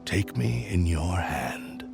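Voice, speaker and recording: An actor playing the haughty, obstinate spirit of a living sword in a video game, imperious and formal. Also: male voice, low voice, expressive, slow pace, full character voice. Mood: disdainful, commanding. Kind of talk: anime video game voice acting. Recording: clear music behind the voice.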